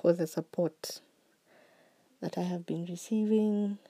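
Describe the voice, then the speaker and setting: A woman hosting her own podcast, talking slowly and earnestly about what she is grateful for, her voice still recovering from being sick. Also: on the low side, steady tone, slightly rough. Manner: slow, heartfelt and earnest, with soft trailing phrases. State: recovering voice after illness. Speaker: podcast host. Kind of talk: reflective solo podcast monologue.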